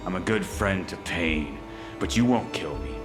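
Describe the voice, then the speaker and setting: A slow, deep, menacing villain. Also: male voice, expressive. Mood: taunting menace. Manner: taunting, deliberate, cold. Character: menacing, mocking hulking male turncoat, superhumanly strong. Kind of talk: dark audio drama villain acting. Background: music.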